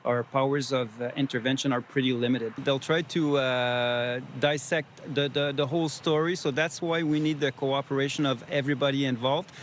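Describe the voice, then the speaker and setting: A man gives an official interview statement outdoors. His intonation is very flat and his phrasing hesitant. Light traffic noise is in the background. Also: medium pitch, normal speed, hardly any pauses. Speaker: police spokesperson.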